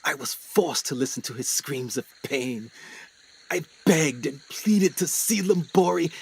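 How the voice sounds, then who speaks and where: A man's voice: on the high side, slight background noise. A man playing a haunted, guilt-ridden explorer doctor in a radio play, telling his story with theatrical intensity. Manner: theatrical, intense, halting delivery.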